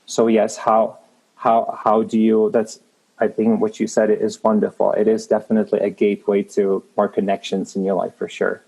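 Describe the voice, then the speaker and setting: A man's voice: on the low side, completely flat delivery, moderate pace, vocal fry, warm tone, breathy edge, low-quality recording. A man in his thirties from the Czech Republic with a Czech accent, a guest in an interview speaking in an earnest, encouraging way with frequent restarts. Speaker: guest, creative manager. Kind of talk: motivational interview talk.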